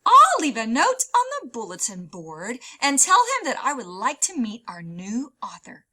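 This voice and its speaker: Woman acting as a storybook librarian, female, curious and astonished, exclamatory, high bright voice. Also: huge pitch swings, moderate pace, full character voice. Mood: curious, determined.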